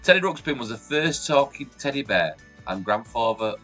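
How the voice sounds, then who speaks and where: Chatty chesty Yorkshire man, bright voice. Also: normal speed, faint music behind. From Yorkshire, England. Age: 40s. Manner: chatty and upbeat, lively jumps in pitch and loudness. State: chesty, recovering from a cold. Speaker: YouTube host. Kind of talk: casual countdown vlog. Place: room at home with shelves behind the camera.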